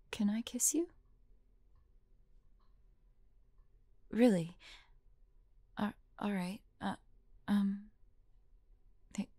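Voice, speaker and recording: A woman playing a devoted young heroine in an audio roleplay, halting and breathy, often dropping into whispers. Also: medium pitch, dark tone, no room sound. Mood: shy, tender. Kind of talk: intimate acted audio roleplay.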